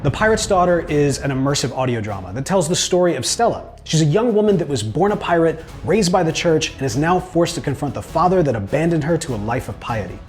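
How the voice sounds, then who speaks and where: A middle-aged man telling a personal story in a conversational, earnest way as he pitches his crowdfunding project. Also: animated, fast, few pauses, darkish tone, faint music behind. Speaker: podcast producer and storyteller pitching his audio drama.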